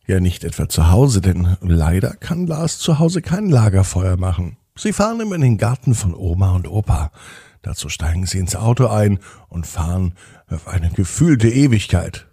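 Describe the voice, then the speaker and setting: A man narrating a bedtime story for children in a gentle, unhurried way with dramatic pauses. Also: deep voice, very animated, husky, no room sound, clean studio sound.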